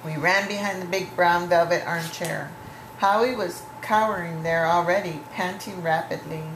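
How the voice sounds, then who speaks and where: A woman reading a children's story aloud with deliberate, dramatic phrasing. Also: lowish voice, steady tone. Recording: echoey room with clear background noise.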